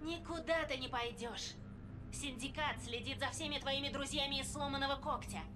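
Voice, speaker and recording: A woman's voice: high-pitched, steady tone, moderate pace, close to an everyday voice. An actress playing a stern, commanding woman guard in a game audio drama, firm and authoritative. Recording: clear ambient noise behind the voice.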